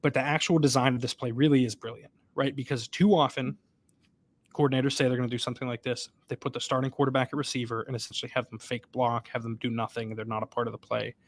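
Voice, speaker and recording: A man's voice: mid-range voice, flat delivery, quick pace. A man co-hosting a college football podcast, breaking down plays as he thinks aloud and often asks "right?". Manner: thinks aloud, repeats himself, seeks agreement with "right?". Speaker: podcast co-host, sportswriter. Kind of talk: casual sports podcast analysis. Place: stadium press box.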